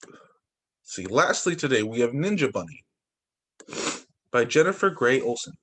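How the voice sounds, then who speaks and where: A man's voice: mid-range voice, sing-song, normal speed, a bit bright. A young man, a teacher, reading picture books aloud to children over a video call and breaking in with little asides and exclamations. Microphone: computer mic on a video call.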